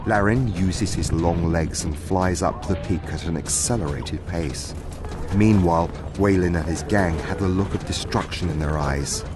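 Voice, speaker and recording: Very deep male storyteller over music. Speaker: narrator. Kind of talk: scripted dramatic story narration.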